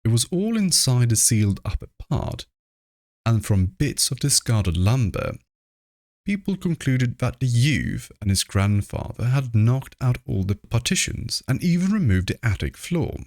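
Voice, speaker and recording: A man reading a horror story aloud as narrator, with measured, deliberate phrasing. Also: lowish voice, dry recording, clean studio sound. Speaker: narrator, livestream reader.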